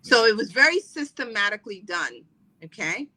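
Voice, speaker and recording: A middle-aged woman from the Caribbean talks as a podcast guest, rambling and chatty, full of fillers and restarts. Her voice is strikingly bright and forward. She sits at a middle pitch for a woman. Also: sing-song, moderate pace, rough recording. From Trinidad and Barbados. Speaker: podcast guest, wellness coach. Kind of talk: casual interview talk.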